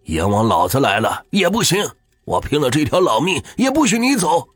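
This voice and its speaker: A man voicing a fierce old husband who shouts and curses to protect his dying wife in a spoken ghost story. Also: on the high side, very animated, moderate pace, breathy edge, no room sound, soft background music, pitched above the performer's own voice, heavily acted. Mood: furious. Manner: shouting, cursing.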